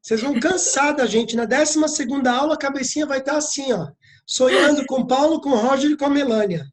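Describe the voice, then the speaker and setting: A man's voice: very high voice, brisk. Cheerful man welcoming students on a call. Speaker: course teacher. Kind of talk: casual chat before an online class. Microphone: video call.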